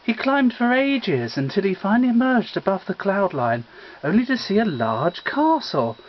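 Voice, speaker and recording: A man's voice: sits high, big pitch swings, normal speed, a little hiss or hum. A man reading a comic mystery story aloud as scripted narration. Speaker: story reader. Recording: dull lo-fi home recording.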